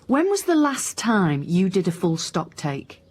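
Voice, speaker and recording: Low-voiced, probing woman police inspector. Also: sing-song, darkish tone, a little hiss or hum. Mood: businesslike, probing. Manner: measured, pointed questioning. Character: probing, dry, sceptical female police inspector. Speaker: actor in radio crime drama. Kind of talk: naturalistic radio drama acting.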